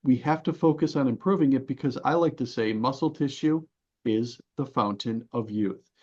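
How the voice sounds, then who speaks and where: A man's voice: medium pitch, moderate pace, darkish tone. A man giving explanatory interview talk as a guest coach, in a didactic, emphatic manner with rhetorical questions. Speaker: podcast guest, health and fitness coach. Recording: dull, band-limited audio.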